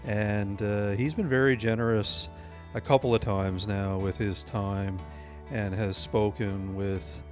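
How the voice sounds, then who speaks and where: A fairly low-voiced man introduces his guest on a podcast. He talks hesitantly, filling his pauses with uhs, while faint guitar music plays behind him. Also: steady tone, a little mellow. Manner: hesitant, with many filler pauses. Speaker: podcast host and course instructor.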